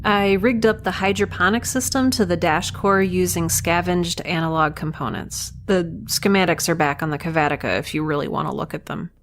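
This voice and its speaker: Woman as a wry space scientist, lowish voice. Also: normal speed, hardly any pauses, slight background noise, close to an everyday voice. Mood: wary. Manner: dry, wry, articulate. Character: wry, guarded, awestruck-by-space female lone scientist. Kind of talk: audio drama acting.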